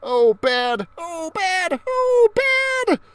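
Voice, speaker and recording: Man doing a high-pitched, anxious schoolboy. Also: sing-song, drawn-out words, fairly bright tone, dry recording, exaggerated voice. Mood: alarmed. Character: worried, whiny schoolboy, easily alarmed.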